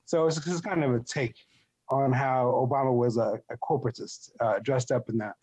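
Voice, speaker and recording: A man from Ethiopia explaining his views as an interview guest, haltingly repeating words as he builds formal phrases. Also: mid-range voice, steady tone, darkish tone, husky, no echo. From born in Ethiopia. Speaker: interview guest, article writer. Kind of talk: thoughtful conversational explanation.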